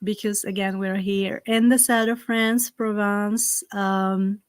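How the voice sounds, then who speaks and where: A woman with a Slovak accent, a native Slovak speaker, hosting a live-streamed ritual from home and giving slow, gentle instructions full of fillers. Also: medium pitch, fairly even intonation, clean tone, no echo. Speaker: spiritual ritual host. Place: home in the south of France. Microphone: computer on a live stream.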